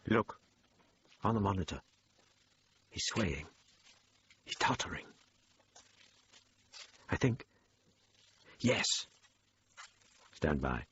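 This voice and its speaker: An actor playing a watchful police officer in a radio drama, speaking in terse, hushed phrases. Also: male voice, low voice, animated, extremely slow, pauses often, husky, exaggerated voice. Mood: tense, watchful. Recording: dull radio drama recording.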